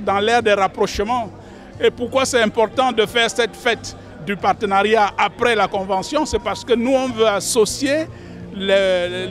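A man's voice: high-pitched, fairly bright tone. A man in his fifties, an association official, answering an interview. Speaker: executive secretary of an association. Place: banquet hall at a gala. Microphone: handheld reporter microphone. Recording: faint music behind.

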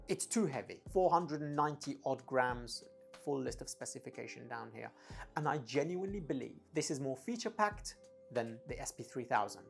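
A man's voice: medium pitch, expressive, normal speed, vocal fry, soft background music. A man in his thirties giving an enthusiastic, emphatic, casual product review to camera as an audio gear reviewer. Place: small home studio room, indoors. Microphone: lavalier clipped at the chest.

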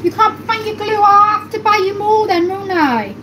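A woman's voice: very high-pitched, animated, normal speed, bright, forceful tone, a little hiss or hum. A woman in her thirties hosting a casual live cooking stream from a small home kitchen, chatting and thinking aloud as she carves and serves. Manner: chatty, thinks aloud while carving and plating. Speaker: livestream host. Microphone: phone camera close up.

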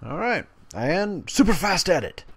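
A man's voice: high-pitched, big pitch swings, gravelly, fairly bright tone, slightly rough, dry recording. A man doing gameplay commentary, switching between casual asides and reading quest text aloud. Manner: casual asides between plain, measured read-outs. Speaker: gaming video creator.

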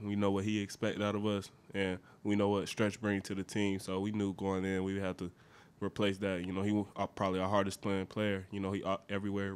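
Very low, flat-voiced young man. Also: clean tone. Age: 20s. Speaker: college basketball player. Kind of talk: post-game press conference answers. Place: press conference room, seated at a table. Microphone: desk mic on a stand.

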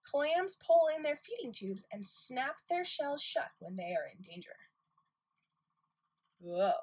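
A woman teaching nursery school, reading a picture book aloud to young children in an expressive, questioning manner, with exclamations. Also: sits high, slow, slightly rough.

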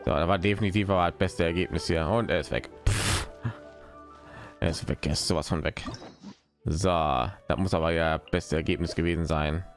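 A man's voice: low-pitched, fairly even intonation, normal speed, faint music behind. A man giving casual live commentary as he streams a game.

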